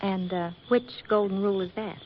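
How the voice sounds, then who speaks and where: Actress doing sassy 1940s career gal photographer, breezy banter, old muffled radio sound w/ some hiss. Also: female voice, medium pitch, brisk, natural voice. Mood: wry, wistful. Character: wisecracking, independent career-woman photographer, softening toward romance.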